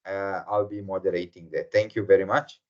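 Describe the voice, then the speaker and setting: A man from South Africa hosting an online workshop session, speaking slowly with many fillers over a video call. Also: low-pitched, level tone, a little mellow. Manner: slow and hesitant, many fillers. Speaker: webinar host. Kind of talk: formal welcome to an online session. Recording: muffled video call audio.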